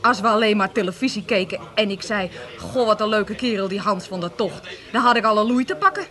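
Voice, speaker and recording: A woman's voice: mid-range voice, expressive, a little fast, fairly bright tone, natural voice. A young woman with an Amsterdam accent playing a feisty, cheeky city girl in a radio comedy, sassy and colloquial. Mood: downcast, exasperated. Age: young adult. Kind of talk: 1980s radio comedy acting.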